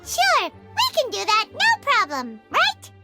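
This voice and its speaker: A woman voicing a tiny, chatty fairy companion in a video game, with a squeaky, childlike voice. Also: wildly swooping pitch, slow, loud music behind the voice, full character voice. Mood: curious. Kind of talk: video game character acting.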